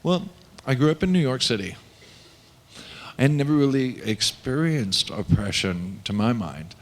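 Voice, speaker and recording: A man speaking at a panel discussion, voicing for a panelist in a deliberate, reflective way with pauses. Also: medium pitch, lively intonation.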